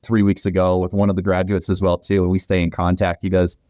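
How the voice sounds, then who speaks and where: 40s guy, low dark voice, friendly rambly answers, dry sound. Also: monotone, fast, no echo. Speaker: guest, company founder. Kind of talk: casual interview answers.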